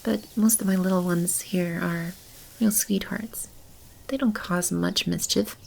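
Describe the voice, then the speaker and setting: A woman plays a nurturing female keeper of a magical animal shelter in a soothing ASMR roleplay. Her voice is slightly low and crackles heavily with fry as she talks softly to a child. There is faint background noise behind her. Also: steady tone, unhurried. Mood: calm, wistful. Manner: soft, soothing, chuckling, speaking to a child.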